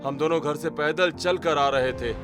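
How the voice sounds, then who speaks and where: A man's voice: fairly high pitch, moderate pace, over music, lightly acted. A man voicing a poor, weary village labourer with a pleading, resigned manner in a cartoon story.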